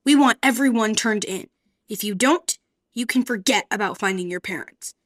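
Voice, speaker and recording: A woman's voice: medium pitch, normal speed, natural voice. A woman voicing a harsh, strict orphanage matron who scolds the children. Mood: stern. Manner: scolding, sharp commands.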